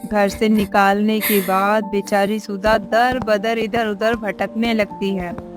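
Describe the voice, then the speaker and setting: Female story narrator: scripted delivery, unhurried, light music underneath. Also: medium pitch, flat delivery.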